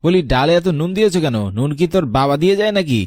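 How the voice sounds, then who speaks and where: Rapid-fire scolding husband acted by a man. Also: a little high, expressive, a little mellow, lightly acted. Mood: angry scolding. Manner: scolding, harsh. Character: angry, stingy husband scolding his wife. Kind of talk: cartoon melodrama.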